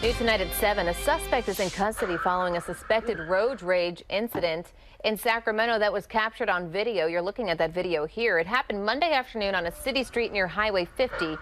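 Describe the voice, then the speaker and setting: A woman anchoring a local TV newscast, reading stories in a smooth, even delivery. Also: mid-range voice, a little fast, faint music behind. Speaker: news anchor. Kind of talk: scripted news reading.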